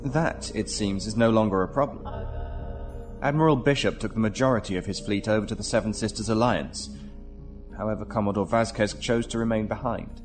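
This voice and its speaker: An actor playing a formal, courteous, shrewd commanding official who speaks deliberately in a sci-fi audio drama. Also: male voice, on the low side, faint music behind, lightly acted. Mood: grave, explanatory.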